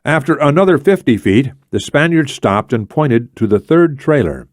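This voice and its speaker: A man's voice: mid-range voice, very animated, moderate pace, dry recording, clean studio sound. A man reading scripted thriller narration as an audiobook narrator.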